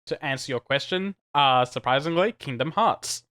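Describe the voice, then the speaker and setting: A man co-hosting a gaming podcast, lively and talkative, with a bright, forceful tone at a middling pitch. He restarts his sentences often and laughs between his points. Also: normal speed, clear, resonant tone. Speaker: podcast co-host. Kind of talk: casual podcast chat.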